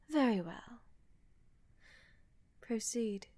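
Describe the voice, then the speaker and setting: A woman playing a smug, condescending older empress in a fantasy audio roleplay, with measured, deliberate delivery. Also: fairly high pitch, dark tone, a little hiss or hum. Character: haughty, older, condescending female ruler of a vast empire.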